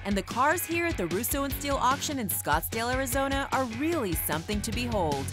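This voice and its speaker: Female show presenter, scripted delivery, very varied pitch, clear music bed. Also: sing-song, fairly quick. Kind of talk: scripted TV narration.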